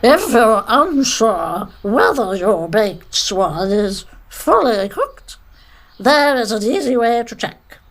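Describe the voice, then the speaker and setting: A blithe female TV cook with dark cheer, played by an actress. She gives instructions slowly in a fairly high, melodic voice, parody cookery show style. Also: big pitch swings, full character voice. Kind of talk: parody cookery programme, comedy audio drama.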